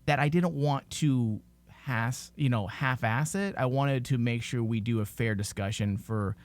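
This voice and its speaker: A man's voice: medium pitch, unhurried, dry recording. A man hosting a podcast, talking informally and giving his opinions in a casual discussion. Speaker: podcast host.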